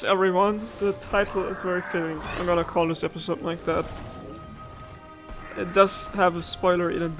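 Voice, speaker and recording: A young woman doing casual, playful let's play commentary with a dramatic, emotive way of talking. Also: fairly low pitch. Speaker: gaming streamer. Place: indoors over game audio.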